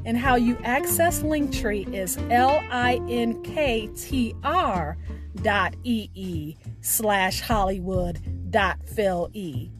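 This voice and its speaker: A woman hosting a podcast, reading a scripted sign-off with deliberate, emphatic enunciation over music. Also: medium pitch. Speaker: podcast host. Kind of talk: scripted podcast outro with spoken poetry.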